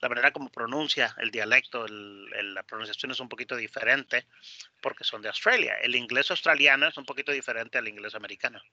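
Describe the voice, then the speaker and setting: Bright, forceful male English teacher. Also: mid-range voice, fast talker, no room sound. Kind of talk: online language lesson, explaining a dialogue. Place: online video class, close dry mic. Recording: dull lo-fi online class audio.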